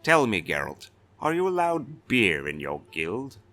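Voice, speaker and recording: A man's voice: lowish voice, wildly swooping pitch, a little slow, sharp tone, full character voice. A man voicing a blustering, sardonic, authoritative castellan in a fantasy audiobook. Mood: wry, exasperated. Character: blustering, weary-wry male castellan of a fantasy city.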